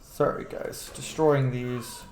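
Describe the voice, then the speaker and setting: A man's voice: mid-range voice, steady tone, slow, a little mellow, a little hiss or hum. A man giving casual let's-play commentary, thinking aloud in an informal, sweary way. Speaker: gaming streamer. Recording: roomy echo, faint game music. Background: game music.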